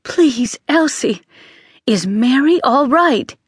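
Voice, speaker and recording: A woman's voice: medium pitch, normal speed, no echo, clean studio sound, natural voice. A woman voicing a worried, protective sister in an audiobook, speaking in an urgent, imperious, clipped way.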